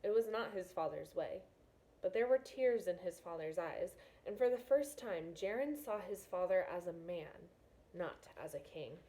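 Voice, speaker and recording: Woman, slightly low voice, classroom read-aloud style, casual and encouraging teacher. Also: lively intonation, normal speed, mellow, low-quality recording. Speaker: teacher reading aloud to students. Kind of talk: read-aloud of a children's novel with explanatory asides.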